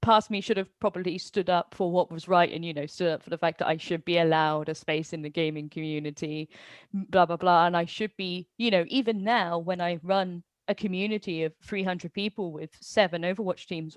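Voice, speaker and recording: A woman with a slightly low voice, recorded close and dry, chats casually as a host. She sounds self-deprecating and often softens what she says with hedges. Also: fairly even intonation, normal speed, dry recording. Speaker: webinar host, gaming community organiser. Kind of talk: casual personal chat.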